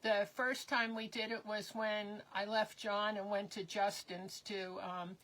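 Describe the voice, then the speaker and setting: An older woman co-hosting a live crafting stream, chatting in a rambling, casual way with many pauses as her voice wears thin and hoarse. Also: mid-range voice, level tone, a bit bright, rough recording. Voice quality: slightly hoarse. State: losing her voice.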